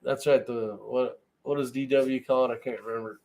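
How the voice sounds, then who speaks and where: A man's voice: medium pitch, steady tone, moderate pace, gravelly, highs cut off. A man hosting a casual live-stream chat, talking in an informal, loose and rambling way. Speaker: host of a shooting live chat show.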